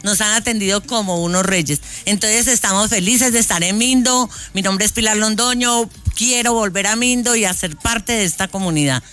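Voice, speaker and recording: A woman's voice: medium pitch, normal speed, hardly any pauses, fairly bright tone, clear, resonant tone, soft background music. A woman with a Colombian coffee-region accent, a tourist speaking on live radio in a gracious, enthusiastic way. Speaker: visiting tourist. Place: outdoors in a town park.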